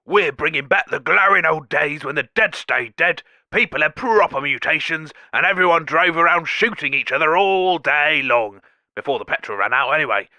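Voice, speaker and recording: This is a swaggering wasteland fashion punk who brags with brash bravado, voiced by a man. The voice is very bright and cutting, and the sound is dry. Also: fairly high pitch, expressive, moderate pace, dry recording, pitched below the performer's own voice, exaggerated voice. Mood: boastful. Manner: boastful, brash.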